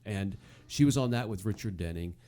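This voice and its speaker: An American man hosting a casual chat show, talking in a halting, conversational way and often repeating words. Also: on the low side, animated, warm tone. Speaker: podcast host. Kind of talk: casual chat about old-time radio.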